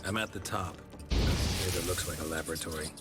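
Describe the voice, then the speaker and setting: A man playing a stoic, blunt monster hunter in a video game, speaking tersely and flatly. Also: low-pitched, close to an everyday voice. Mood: matter-of-fact. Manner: terse, flat, unhurried. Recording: music behind the voice.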